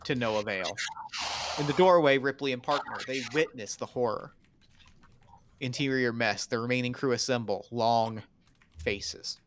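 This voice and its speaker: A man's voice: mid-range voice, moderate pace, long pauses. A man narrating stage directions at a script table read in terse, clipped, dramatic phrases.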